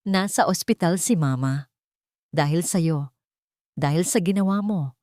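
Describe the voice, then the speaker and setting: A woman's voice: on the low side, measured pace, a little mellow, dry recording, studio quality, close to an everyday voice. A woman voicing a cold, angry adult son in a story, speaking with accusing emphasis. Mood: cold anger.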